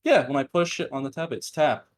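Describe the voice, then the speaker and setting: A man guest on a podcast with a monotone voice, explaining things in a halting, plain way. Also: fairly high pitch. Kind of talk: casual interview answers.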